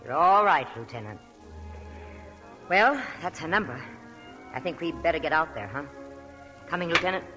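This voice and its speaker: A man's voice: on the high side, expressive, moderate pace, long pauses, pushed and bright, faint music behind. An actor playing a worldly, fatherly nightclub owner in a 1940s radio drama. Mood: knowing.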